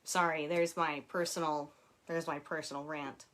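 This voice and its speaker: A woman's voice: low voice, flat delivery, moderate pace, a bit bright. A woman author reading her own book aloud at home, laughing often and breaking off into casual personal asides. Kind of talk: audiobook reading with chatty asides. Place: home with children nearby.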